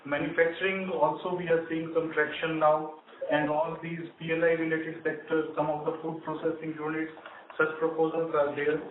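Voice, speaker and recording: A man speaking as a bank executive, giving measured, matter-of-fact answers on an earnings call. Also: fairly high pitch, monotone. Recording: distant echoey room, noisy phone line.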